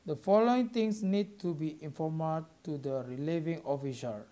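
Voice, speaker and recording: A young man with an Indonesian accent reads a scripted student presentation aloud, halting word by word. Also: fairly high pitch, mellow. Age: young adult.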